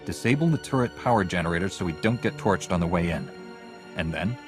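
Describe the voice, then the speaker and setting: An actor playing a calm, resolute Jedi hero in a video game, speaking in a direct, measured, authoritative way. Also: male voice, sits low, over music. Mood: businesslike.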